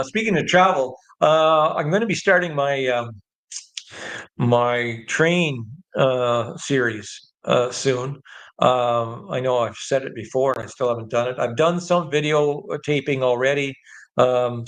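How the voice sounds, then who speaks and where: An older Canadian man from the Ottawa Valley hosting a casual live stream, rambling with many ums and restarts. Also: medium pitch. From Canada, Ottawa Valley in Ontario. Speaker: livestream host.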